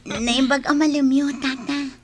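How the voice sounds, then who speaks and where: Caring Ilocano best friend, highish voice. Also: female voice, fairly even intonation, a little slow. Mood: respectful. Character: caring, dutiful young woman, loyal best friend. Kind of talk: Ilocano radio drama acting.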